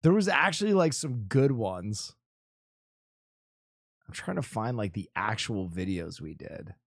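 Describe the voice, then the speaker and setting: A man in loose, profane chat, cracking jokes with quick asides. His pitch swings widely from line to line, and the sound is dry and close with no room echo. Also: lively intonation, vocal fry. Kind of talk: casual chat reacting to old videos.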